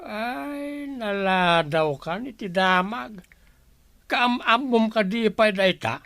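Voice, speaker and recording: A man's voice: high voice, lively intonation, takes it slowly, gravelly, sharp tone, dry recording, exaggerated voice. A man playing a grouchy older father with an Ilocano accent in a radio drama. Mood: grumbling, defensive.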